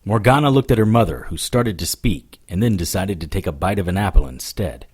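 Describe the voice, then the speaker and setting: Detective lead: dry, defiant male investigator, fairly low voice, animated first-person radio-drama delivery. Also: fairly quick, slightly rough. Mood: wry narration. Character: wry, defiant male supernatural investigator narrating his case.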